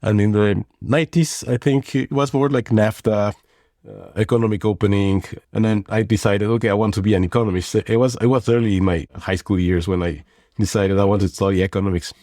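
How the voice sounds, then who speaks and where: Deep-voiced Mexican man, thoughtful guest. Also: steady tone, fairly quick, dark tone, dry recording, studio quality. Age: 40s. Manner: thoughtful, rambling with frequent restarts and fillers. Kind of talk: conversational interview.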